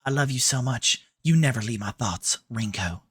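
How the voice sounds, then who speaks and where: A man's voice: medium pitch, lively intonation, normal speed, breathy edge, no room sound, pitched below the performer's own voice. A man voicing a lovesick, self-centred schoolgirl in a feminine, drawling US Southern accent, reading game notes aloud.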